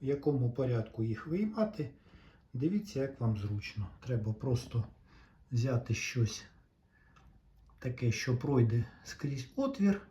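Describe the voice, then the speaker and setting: Guy doing a hands-on how-to, soft mellow voice, patient, pauses between steps, echoey room. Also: mid-range voice, very animated. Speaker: repair tutorial presenter. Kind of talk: unscripted hands-on demonstration. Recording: roomy echo, faint background noise.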